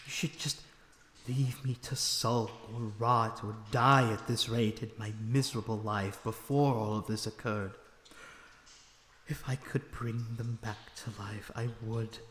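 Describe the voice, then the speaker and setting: A man playing a proud, wronged vampire prisoner in an audio roleplay, pleading bitterly in formal, theatrical phrases with halting pauses. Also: mid-range voice, a bit bright, close to an everyday voice. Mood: resigned, bitter. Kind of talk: dramatic audio roleplay monologue, addressed to listener. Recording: echoey dungeon-like reverb, audible background noise.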